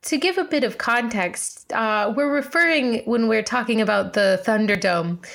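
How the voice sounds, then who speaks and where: A woman's voice: fairly high pitch, moderate pace, creaky, a bit bright. A woman co-hosting a podcast in a conversational way, explaining context and putting questions to her partner. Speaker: podcast co-host.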